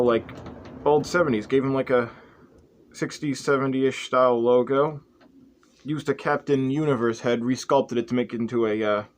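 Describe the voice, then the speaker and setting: A young man chatting casually and offhandedly as he shows off a hobby project, rambling and self-deprecating. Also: medium pitch. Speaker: host of a toy customizing channel. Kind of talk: casual hobby showcase chat. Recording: clearly noisy homemade recording.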